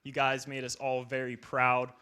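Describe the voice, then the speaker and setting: Earnest young man giving a bright speech. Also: medium pitch, monotone, moderate pace, clean tone. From northeastern Ohio. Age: young adult. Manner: earnest, formal thanks. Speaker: student athlete. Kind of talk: prepared banquet speech.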